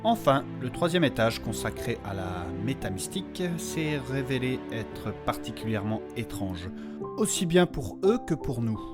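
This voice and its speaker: A man narrating a scripted fantasy story in a measured, solemn, dramatic way. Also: medium pitch, big pitch swings, loud music behind the voice.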